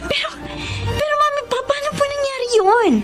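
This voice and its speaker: A woman's voice: very high-pitched, moderate pace, over music. An actress playing a sweet young Filipino university student and daughter in a radio drama, with a girlish manner. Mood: puzzled, surprised. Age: young adult.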